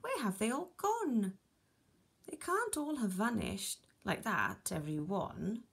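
Woman acting out big mean shark, greedy, sneering, slow storybook delivery, creaky, swooping pitch. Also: big pitch swings, full character voice. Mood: grumbling, scheming. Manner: sly, sneering, grumbling. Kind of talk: children's storybook character voice.

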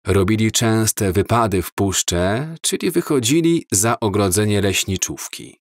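A man reads a story aloud at a very slow, deliberate pace. His voice sits a little low and stays calm throughout. The sound is dry and close, with no room around it. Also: no echo. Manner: slow, measured, calm reading. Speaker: audiobook narrator. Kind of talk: scripted storybook narration.

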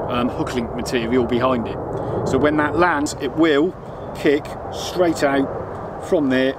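A man in his thirties outdoors, explaining a fishing rig in a relaxed, casual way with frequent ums. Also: medium pitch, heavy background noise. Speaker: angler demonstrating fishing rigs. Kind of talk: casual product demonstration. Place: outdoors on a woodland path.

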